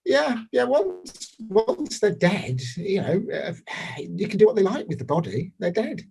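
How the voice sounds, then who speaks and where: Guy acting as a shrugging roman governor on the witness stand, highish husky voice, keeps dodging blame in a drama. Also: sing-song, a little slow, darkish tone, exaggerated voice. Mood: dismissive. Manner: hesitant, conversational, shrugging, dismissive. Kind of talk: dramatized courtroom testimony.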